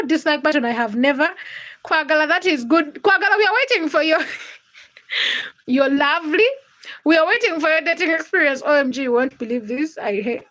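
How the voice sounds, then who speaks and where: A woman's voice: high voice, fairly bright tone, low-quality recording. A young woman from Uganda and Kenya hosting a casual livestream, reading out viewers' comments and teasing them by name. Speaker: livestream host.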